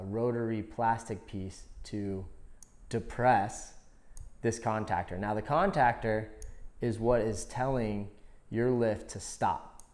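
A man's voice: fairly low pitch, a little mellow. A man demonstrating a product in a conversational, step-by-step instructional style. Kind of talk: hands-on instructional explanation.

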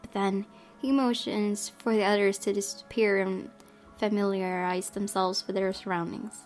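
A woman plays through a story game, reading it aloud and reacting as she goes. Her voice is medium-pitched and expressive, with a fretful edge. Game music sits faintly behind her. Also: moderate pace. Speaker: gaming video host. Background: background game music.

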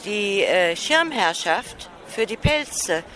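A woman's voice: medium pitch, big pitch swings, takes it slowly, a bit bright, slight background noise. A woman from Frankfurt giving an indignant statement as a protester in a street interview. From Frankfurt, Germany. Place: outdoors on a street.

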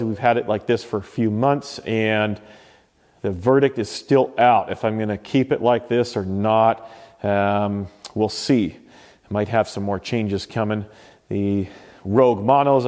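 A man's voice: fairly low pitch, steady tone, measured pace, a little mellow, in a large hall. A man walking through his garage home gym, chatting offhandedly about his equipment, with loose fillers and self-corrections. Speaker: home gym owner giving a tour. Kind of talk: casual walkthrough commentary.

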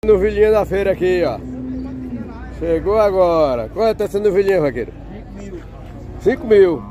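A man's voice: sits high, expressive, normal speed, dark tone, slight background noise. A man with a Northeastern Brazilian accent, chatting casually as he tours a cattle fair and asks sellers for prices. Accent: Brazilian Northeastern Portuguese. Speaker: vlogger touring a cattle fair. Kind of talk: casual on-the-spot commentary. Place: outdoor cattle fair, crowded pens. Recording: outdoor fair with crowd chatter. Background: crowd chatter and livestock.

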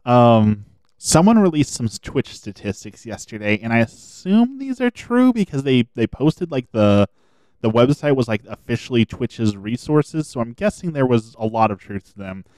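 A man streaming a video game, chatting casually and thinking aloud as he plays, his voice a little hoarse. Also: mid-range voice, very animated, moderate pace, darkish tone, no room sound. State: slightly hoarse. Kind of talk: casual gameplay commentary.

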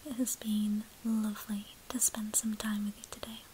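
A woman in her twenties doing an ASMR hairdresser roleplay in a soothing, breathy whisper, speaking gently and attentively to the listener. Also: mid-range voice, little pitch movement, normal speed, slight background noise, poor audio. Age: 20s. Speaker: ASMR creator playing a hairdresser.

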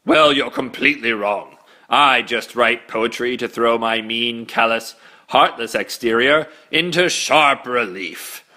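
A man's voice: mid-range voice, bright, forceful tone. An actor playing a callous, pompous male alien captain who declaims menacingly in a sci-fi comedy drama. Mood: scornful, commanding. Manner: slow, drawn-out, menacing declamation.

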